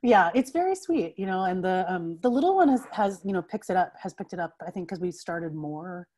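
A woman's voice: lowish voice, animated, a little fast, a little mellow. Woman in her forties, guest on a home video call, earbud mic, talkative, laughs a lot, lots of fillers. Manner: chatty, laughs often, many fillers and restarts. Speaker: interview guest, writer. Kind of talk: casual video call conversation. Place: home room with bookshelves. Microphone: wired earbuds on a video call.

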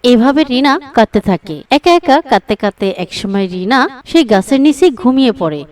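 A woman's voice: fairly high pitch, a little mellow. A woman narrating a scripted children's cartoon story.

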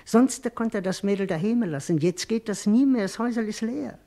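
Rag-and-bottle dealer, folksy, gossipy, wheedling, insistent, 1960s radio play. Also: male voice, high-pitched, fairly quick, mellow. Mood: lamenting, insistent. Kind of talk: 1960s German radio drama acting.

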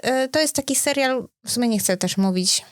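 A woman's voice: a little high, fairly quick, dry recording, studio quality. A woman in her thirties co-hosting a podcast, chatting casually and colloquially, often interrupting herself as she goes. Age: 30s. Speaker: podcast co-host. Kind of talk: casual unscripted chat about series and films.

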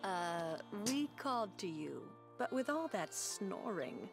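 An actress playing a calm, dryly teasing female mage in a video game. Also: medium pitch, slow pace, vocal fry, heavily acted. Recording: game music behind voice.

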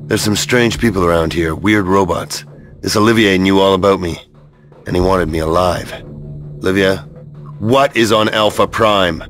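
A man's voice: sits low, moderate pace, fairly bright tone, faint music behind. An actor playing a sardonic male action hero in a science fiction video game, wry and sarcastic. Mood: wary, wry.

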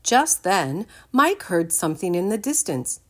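An older woman giving an expressive read-aloud storytime for young children, in a deliberate, animated manner. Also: fairly low pitch. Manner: deliberate, animated, addresses the listeners with questions.

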